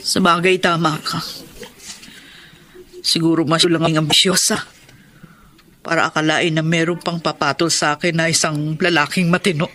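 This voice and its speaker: A woman's voice: low voice, little pitch movement, normal speed, long pauses, a bit bright. An actress playing a heartbroken middle-aged woman in a radio drama, speaking in an emotional, pleading way. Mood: hurt. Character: heartbroken woman Nene, former mistress. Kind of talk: Tagalog radio drama acting.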